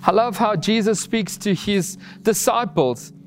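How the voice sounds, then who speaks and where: High-voiced man preaching a sermon. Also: a little slow, a little hiss or hum. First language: Afrikaans. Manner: earnest, with restarts and soft laughs.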